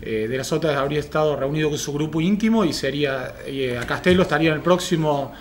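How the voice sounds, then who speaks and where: A man's voice: on the high side, fast talker, slight background noise. A man in his thirties with an Argentine accent, reporting political news conversationally as a panel commentator. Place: small TV studio at a panel table.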